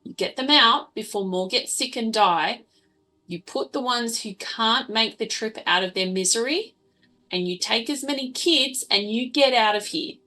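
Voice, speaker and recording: A woman's voice: on the high side, a little slow, pushed and bright, pitched above the performer's own voice. A woman playing a blunt, commanding female wasteland survivor in a tabletop game, giving firm orders. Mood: urgent, commanding. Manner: firm, imperative. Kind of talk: tabletop roleplaying character voice.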